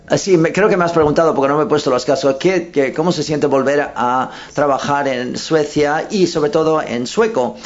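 Halting male press-conference interpreter. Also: medium pitch. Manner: hesitant, with frequent self-corrections. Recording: dull lo-fi sound.

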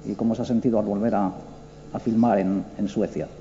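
A man's voice: fairly low pitch, fairly even intonation, brisk. A man reporter asking a question at a press conference, repeating words as he goes. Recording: dull lo-fi sound with faint noise.

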